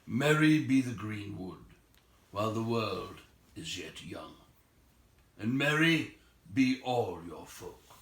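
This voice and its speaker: A man's voice: fairly low pitch, animated, reverberant. A man voicing a solemn, benevolent old wizard from a storybook in a formal manner. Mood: solemn blessing. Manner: slow, formal blessing. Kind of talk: storybook character voice.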